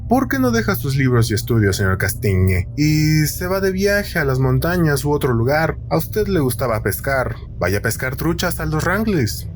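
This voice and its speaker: A man's voice: on the high side, big pitch swings, normal speed, hardly any pauses, slight background noise. Man voicing an earnest, courteous tradesman. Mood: probing, concerned. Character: earnest, polite tradesman, probing and concerned man. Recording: light music behind the reading.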